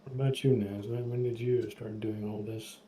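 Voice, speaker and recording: Guest guy on a stream, super dark low voice, halting, lots of uhs. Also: steady tone, slight background noise, low-quality recording. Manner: halting, hesitant, many fillers. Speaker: guest on a livestream. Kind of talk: casual chat.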